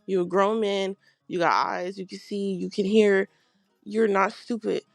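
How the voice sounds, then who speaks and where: Blunt woman with a gravelly voice. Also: mid-range voice, steady tone, a little slow, dry recording, clean studio sound, soft background music. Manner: blunt and emphatic. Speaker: podcast co-host. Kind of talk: casual podcast chat.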